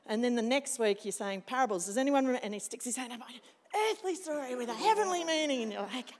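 A woman's voice: on the high side, lively intonation, fast talker. A woman, a scripture teacher and school chaplain, chatting animatedly on stage and acting out classroom moments. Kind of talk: casual interview on stage. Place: church sanctuary. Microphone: handheld mic. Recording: long church hall reverb.

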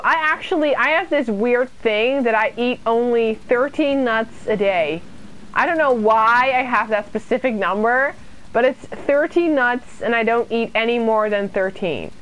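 Slow-talking chatty young British woman. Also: on the high side, poor audio. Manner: chatty and self-deprecating, reads chat aloud and answers it. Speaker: streamer. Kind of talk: casual gameplay commentary, chatting with viewers. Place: small room indoors.

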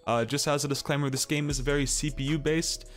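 A young Australian man, a gaming streamer, explains his settings one by one in a relaxed, casual way, his voice lifting on each item he names. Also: on the high side, brisk, faint music behind. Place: small bedroom. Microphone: boom mic on arm near face.

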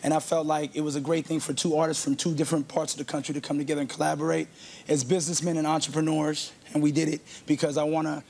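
A young man from Haiti, a guest rapper talking fast and earnestly in a TV studio interview. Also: fairly high pitch, level tone, gravelly, a little mellow. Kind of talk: TV talk show interview. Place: TV studio with live audience. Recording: studio crowd and noise behind.

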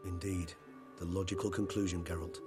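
A refined, scholarly older gentleman from a fantasy game, played by a male actor. He has a low voice and an eloquent, precise, dryly analytical delivery. Loud music runs behind him. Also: little pitch movement, a little fast, natural voice. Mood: grave, analytical. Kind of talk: video game voice acting.

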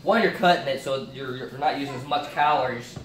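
A man's voice: medium pitch, fast. Young guy rambling thru his meal prep, camera across an echoey kitchen. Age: young adult. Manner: rambling, thinks aloud with fillers. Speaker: fitness cooking vlogger. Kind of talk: casual cooking demo. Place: home kitchen, indoors. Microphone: far camera on a stand. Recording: echoey kitchen, far-off camera mic, noisy.

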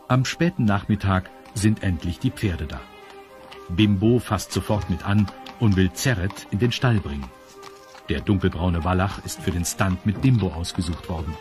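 A man's voice: low voice, a little mellow. A man narrating a children's audio drama from a script.

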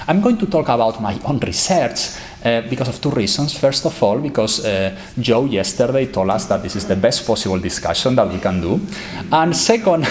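A man's voice: mid-range voice, slight background noise. A man, giving an academic conference talk at a podium microphone in a lecture hall, gesturing as he speaks. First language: Spanish. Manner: animated, gesturing, emphatic.

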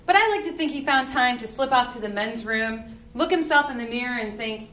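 High-pitched, animated young woman reading aloud. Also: brisk. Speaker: reader at a book reading. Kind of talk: reading humorous essay aloud to live audience. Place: indoor party room with live audience. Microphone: podium microphone on a stand. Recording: roomy live event with faint noise.

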